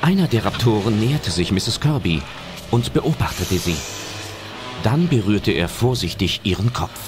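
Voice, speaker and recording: Male narrator, scripted storytelling, low pitch, animated intonation, prominent music underneath. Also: moderate pace. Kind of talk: scripted audio drama narration. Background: loud film-style music and effects.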